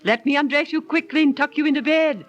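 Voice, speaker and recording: A woman playing a brisk, loving village mother, anxious for her daughter, in a 1930s radio drama. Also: high voice, dull audio, lightly acted. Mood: brisk, soothing. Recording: noisy old 1930s radio transcription.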